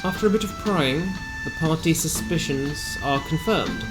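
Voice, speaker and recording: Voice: medium pitch, animated, normal speed, low-quality recording. A narrator reading a scripted recap of a tabletop fantasy game in a theatrical storytelling manner with wry asides. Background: music.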